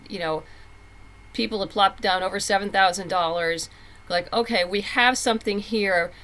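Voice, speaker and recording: A woman's voice: medium pitch, a bit bright, poor audio. A woman speaking candidly and conversationally as a guest in a casual interview about her own work. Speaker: interview guest, comic book writer.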